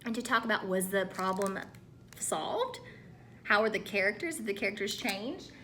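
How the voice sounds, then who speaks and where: A woman teacher giving a read-aloud lesson to children in an animated, instructive way, often putting questions to her listeners. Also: mid-range voice, normal speed, slight background noise. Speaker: elementary school teacher.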